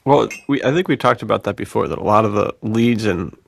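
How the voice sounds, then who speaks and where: A man co-hosting a podcast, chatting informally and reflectively in a halting way. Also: lowish voice, creaky. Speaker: podcast co-host. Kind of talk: casual podcast chat.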